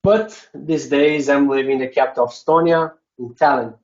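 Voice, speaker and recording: A man's voice: medium pitch, normal speed, highs cut off. A man from Brazil with a Brazilian Portuguese accent, a non-native English speaker, giving a conference presentation with many hesitant filler sounds.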